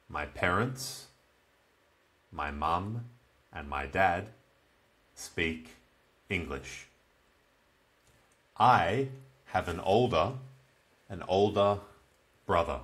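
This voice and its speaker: Australian man in his 20s, low voice, very slow, repeats and stresses simple words, beginner lesson. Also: a bit bright. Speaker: English teacher. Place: small plain room indoors.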